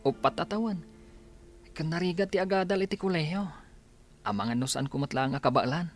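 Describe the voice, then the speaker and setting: A man's voice: on the high side, brisk, faint music behind, lightly acted. A young man playing a discouraged student son in a radio drama, pleading and hesitant. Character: discouraged teenage son who dreads college.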